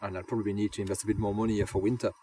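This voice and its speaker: A man, a hobby wild camper, thinking aloud in relaxed interview answers, pausing with lots of ums and restarting his sentences. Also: fairly low pitch, slight background noise. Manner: hesitant, many ums and restarts. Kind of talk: casual reflective interview answers. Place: outdoors on moorland. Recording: distant voice under loud music.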